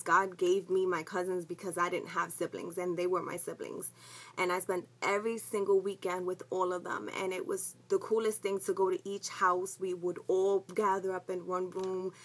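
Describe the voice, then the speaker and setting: A woman's voice: on the low side, a bit bright, poor audio. A woman, chatting casually to camera at home as a vlogger, rambling with lots of ums and likes. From the Bronx, New York. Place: at home, indoors.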